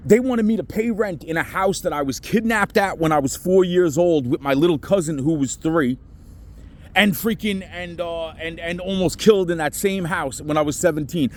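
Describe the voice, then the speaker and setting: A man's voice: on the high side, normal speed. A middle-aged man on a phone call, venting in an agitated, rambling way with heavy swearing. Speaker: caller reporting to police. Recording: noisy phone call, traffic sounds behind.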